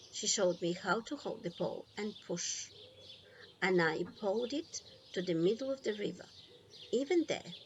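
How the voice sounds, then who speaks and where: A woman reading a children's storybook aloud in an expressive, deliberate way, leaving pauses between phrases. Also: mid-range voice, highs cut off, a little hiss or hum. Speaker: storybook reader.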